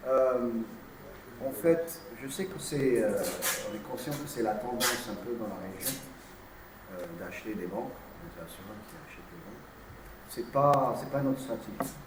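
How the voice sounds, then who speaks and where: A man whose first language is English, answering press questions haltingly with many hesitations. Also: mid-range voice. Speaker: insurance company executive. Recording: distant mic in an echoey room with noise.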